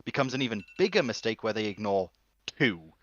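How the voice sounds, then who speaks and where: A man on a discussion panel, making measured, reasoned points in casual debate over a lo-fi voice call. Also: fairly low pitch, very animated, a bit bright.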